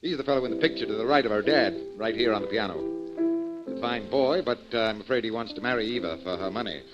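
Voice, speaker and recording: A man's voice: fairly low pitch, natural voice. Actor as unruffled middle-aged father, piano behind. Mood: unruffled, dismissive. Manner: measured, dry, dismissive. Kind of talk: old-time radio drama acting. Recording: muffled vintage radio recording. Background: piano music.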